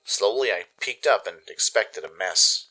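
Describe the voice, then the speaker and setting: A man's voice: fairly low pitch, lively intonation, unhurried, sharp tone, a little hiss or hum, low-quality recording. A man narrating a scripted adventure story in the first person, in a dramatic storytelling style. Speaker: narrator and producer.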